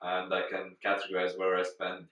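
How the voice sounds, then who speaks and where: Deep-voiced young man, heard from afar. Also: completely flat delivery, fairly quick. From Latvia. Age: young adult. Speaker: student interviewee. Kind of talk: casual interview answers. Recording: roomy, distant, dull-sounding.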